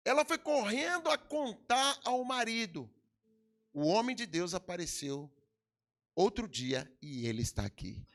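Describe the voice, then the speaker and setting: A middle-aged Brazilian pastor preaches in a Brazilian Portuguese accent, his high voice leaping up and down across a wide range. A gravelly edge runs through his delivery as he surges into emphatic peaks and then drops low again. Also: big pitch swings, unhurried, fairly bright tone. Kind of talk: sermon. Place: church hall.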